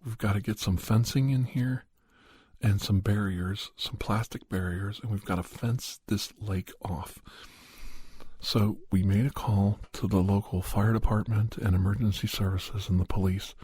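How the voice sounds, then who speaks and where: A man narrating a first-person horror story in an ASMR style, softly and in halting, unhurried short phrases with casual asides. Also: on the low side, fairly even intonation, slightly rough, no room sound. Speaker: podcast host and storyteller.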